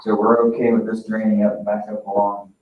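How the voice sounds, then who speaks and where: A man's voice: lowish voice, flat delivery, fairly quick. A man leading a meeting discussion in casual talk. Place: echoey meeting room, picked up from afar. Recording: roomy, distant, muffled audio with faint noise.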